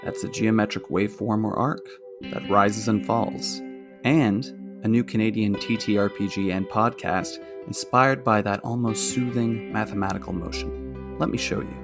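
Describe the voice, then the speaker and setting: A man's voice: fairly low pitch, fairly even intonation, moderate pace. A man reading scripted narration for a podcast trailer. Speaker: promo narrator. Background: plucked guitar music.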